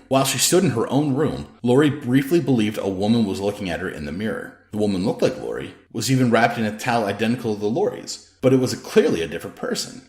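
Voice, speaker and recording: A man's voice: low-pitched, echoey room. A man reading a novel aloud as an author, in scripted audiobook narration.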